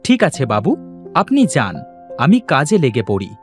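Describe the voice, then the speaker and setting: A man's voice: medium pitch, huge pitch swings, normal speed, soft background music. A man voicing a poor, hardworking village farmhand and devoted husband in a cartoon, earnest, deferential and plaintive. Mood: eager, deferential. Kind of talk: Bengali moral-story cartoon voice acting.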